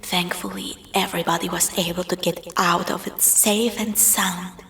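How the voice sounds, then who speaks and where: A woman narrating a scripted spooky story in a hushed, breathy, dramatic storytelling manner. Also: lowish voice, steady tone, normal speed. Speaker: storyteller, voice performer. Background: music.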